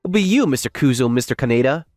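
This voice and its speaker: A man's voice: mid-range voice, expressive, fast, a little mellow, dry recording, clean studio sound, soft background music, natural voice. A man playing an earnest, bashful young office worker who also narrates a manga romantic comedy dub. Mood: confident, accusing. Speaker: narrator and lead character. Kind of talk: manga dub acting with first-person narration.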